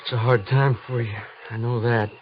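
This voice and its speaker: An actor playing a tender-hearted young frontier trooper and anxious husband in an old radio drama, with folksy rural American speech. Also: male voice, mid-range voice, fairly even intonation, normal speed, close to an everyday voice. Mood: concerned. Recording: dull, noisy old radio recording.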